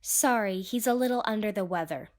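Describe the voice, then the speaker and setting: A woman's voice: medium pitch, brisk, lightly acted. A woman voicing a curt, unfriendly ship passenger in an amateur audio drama. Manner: curt, cold.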